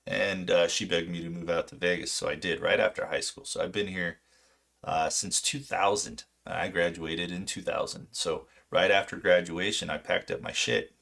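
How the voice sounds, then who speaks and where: A man in his late thirties, from Poland and raised in California, chatting casually and unscripted on a livestream in a halting, self-deprecating, rambling way. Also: low-pitched, fairly even intonation, vocal fry, a bit bright. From Poland until age seven, then southern California. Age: late 30s. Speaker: livestream host, amateur retail trader.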